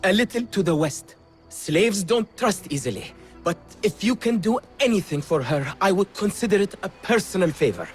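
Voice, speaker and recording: A genteel tea house owner. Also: male voice, sits high, expressive, moderate pace, soft background music. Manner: polished, slightly theatrical.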